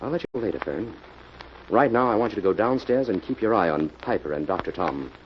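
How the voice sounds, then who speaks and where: An actor playing a brisk, determined investigator in an old radio mystery, decisive and giving orders. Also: male voice, fairly low pitch, expressive, lightly acted. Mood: businesslike. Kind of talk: 1940s radio mystery acting. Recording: muffled, noisy old-time radio recording.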